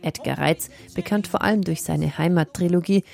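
Dark-voiced, gravelly woman reporter. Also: on the low side. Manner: measured, read-out delivery. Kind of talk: news report narration.

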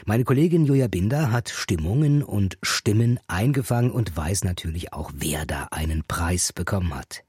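A man presenting a culture program in a calm, formal announcing style. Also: fairly low pitch, moderate pace, hardly any pauses, slightly rough, dry recording, studio quality. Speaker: radio presenter. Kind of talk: news presentation.

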